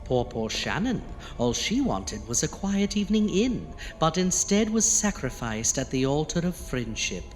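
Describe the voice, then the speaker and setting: A campy, morbid horror host for an audio anthology. His voice is raised in pitch and swoops theatrically as he lingers over words. A long reverb and faint music surround him. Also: big pitch swings. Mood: mock pity. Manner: hammy, drawn-out, mock-pitying.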